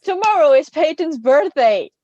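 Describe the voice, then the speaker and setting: Chatty teen girl podcaster, kinda high bouncy voice, muffled lo-fi dry sound. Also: lively intonation, normal speed, warm tone, no echo. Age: late teens. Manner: chatty, laughs often, teasing. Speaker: podcast co-host. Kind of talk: casual chat.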